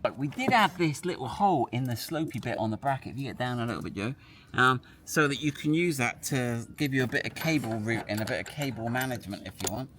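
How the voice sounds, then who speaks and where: A man's voice: mid-range voice, animated, fast, fairly bright tone. A man giving a casual hands-on product demonstration, chatting and thinking aloud as he works. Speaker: product presenter. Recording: clear background noise.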